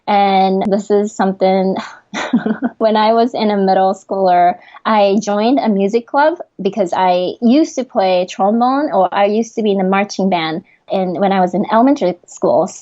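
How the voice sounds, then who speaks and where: A woman's voice: mid-range voice, dark tone, lo-fi sound. A young adult woman with a Japanese accent, a guest in a podcast interview, talking earnestly with frequent fillers and laughs.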